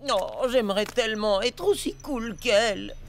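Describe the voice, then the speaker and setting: A performer voicing an excitable, eager amoeba schoolboy who tries to sound cool in a French cartoon dub, exclamatory and whiny. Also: medium pitch, normal speed, background noise, close to an everyday voice. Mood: anxious, pleading. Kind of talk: French animated comedy dub. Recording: music behind the voice.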